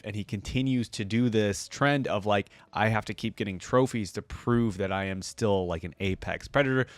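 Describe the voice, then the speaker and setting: A man's voice: lowish voice, dry recording. A man talking casually to his viewers as a reaction host, in rambling, conversational unscripted commentary. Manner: rambling, conversational, frequent "like" and "right?".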